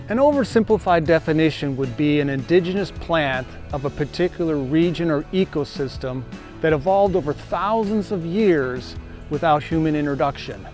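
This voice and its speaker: A man hosting a gardening show, giving steady, scripted, explanatory narration. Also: on the high side.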